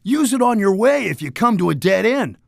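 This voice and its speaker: An actor playing a laid-back, boastful surfer dude creature in a cartoon game, talking in upbeat beach slang. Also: male voice, high-pitched, big pitch swings, moderate pace, creaky, dry recording, clean studio sound, exaggerated voice. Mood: cool, playful. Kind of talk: animated game character dialogue.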